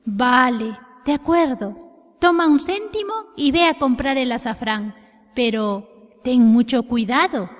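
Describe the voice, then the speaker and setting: A woman's voice: high voice, takes it slowly. A woman voicing a firm but caring mother in a children's story, speaking in a cautioning manner. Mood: resigned, cautioning. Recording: long reverberant echo.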